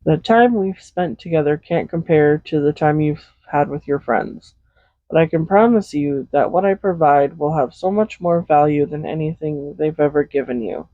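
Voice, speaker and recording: A man's voice: fairly high pitch, moderate pace, dry recording, narrow, tinny audio, close to an everyday voice. A man playing a tender, possessive villain in an intimate roleplay audio drama, speaking in a soothing, coaxing, reassuring way. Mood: earnest, seductive. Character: tender, possessive villain comforting a captive loved one. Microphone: close USB condenser microphone.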